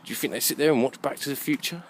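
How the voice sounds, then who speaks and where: Musing man, noisy outdoor recording. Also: mid-range voice. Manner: musing, halting, self-questioning. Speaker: vlogger. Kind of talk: rambling walk-and-talk monologue. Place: outdoors, walking along a muddy alleyway.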